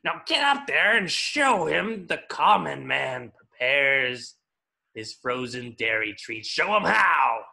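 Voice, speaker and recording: Sharp, gravelly, scheming little cartoon villain. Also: male voice, on the high side, sing-song, takes it slowly, exaggerated voice. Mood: bossy, resentful. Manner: grandiose, goading. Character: scheming tiny villain, bombastic and bossy. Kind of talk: cartoon script voice acting.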